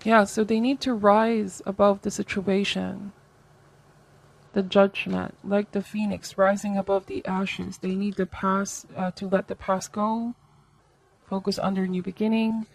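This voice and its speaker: Female tarot host, mid-pitched voice, conversational and reflective, offering advice straight to the viewer. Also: little pitch movement, unhurried, creaky, low-quality recording. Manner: reflective, advisory, seeks agreement with tags like okay and right.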